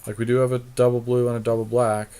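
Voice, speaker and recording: A man co-hosting a podcast, chatting casually and thinking aloud in halting, repetitive phrases as he works through card choices. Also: mid-range voice, little pitch movement, dark tone, a little hiss or hum. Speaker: podcast co-host. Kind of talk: casual chat while building a card game deck.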